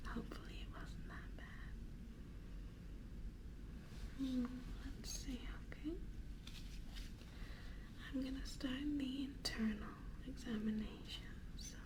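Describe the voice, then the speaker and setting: A woman playing a cheerful, caring goth mortician in an ASMR roleplay, speaking in a soft, breathy whisper with a soothing, reassuring manner. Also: fairly high pitch, low-quality recording. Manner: soothing, reassuring, slow and deliberate.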